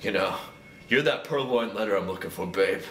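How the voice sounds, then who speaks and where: A man playing a drunk, lovesick, melodramatic young guy, rambling haltingly and repeating himself as if on a comic voicemail. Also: fairly low pitch, a bit bright, lightly acted. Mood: maudlin, rambling. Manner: slurred, halting, repetitive, overwrought. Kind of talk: comedic rambling voicemail monologue. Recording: roomy, distant, noisy home recording.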